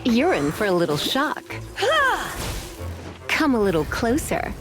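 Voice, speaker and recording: A woman's voice: medium pitch, sing-song, unhurried. A woman voicing a sly, flirtatious sorceress fighter in a video game, teasing, seductive and confident. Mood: sultry taunting. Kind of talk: video game combat lines. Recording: loud music over the voice. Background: loud game music.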